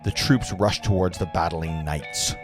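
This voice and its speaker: A man's voice: deep voice, a little slow. A man acting as narrator at a table read, reading screenplay stage directions aloud earnestly, with the odd stumble. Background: music.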